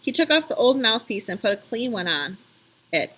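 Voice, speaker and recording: A woman narrating a children's story aloud in an expressive, emphatic storytelling style. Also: on the high side, normal speed, breathy edge.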